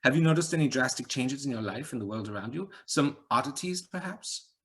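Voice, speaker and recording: A man's voice: medium pitch, expressive, brisk, voice pushed up, lightly acted. A man voicing a pale, arch, philosophical man with a slight Eastern European accent. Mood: musing. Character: pale, arch man with answers, older-sounding. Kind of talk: audiobook reading.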